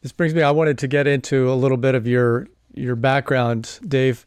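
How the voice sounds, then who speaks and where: Smooth-voiced male interview host. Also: mid-range voice, flat delivery, moderate pace, no echo. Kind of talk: podcast interview.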